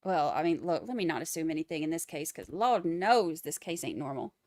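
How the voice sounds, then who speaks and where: A woman's voice: low voice, lively intonation, brisk, darkish tone, no room sound, studio quality. A woman with a Boston accent hosting a live trial commentary stream, chatting wryly and reading out viewer comments. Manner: chatty, wry, rambling asides.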